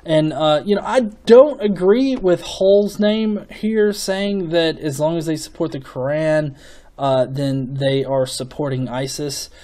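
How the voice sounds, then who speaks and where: A man giving off-the-cuff opinion commentary as a video host, in a casual, sweary manner with halting, repeated words. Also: on the high side, dark tone. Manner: casual, profanity-laden.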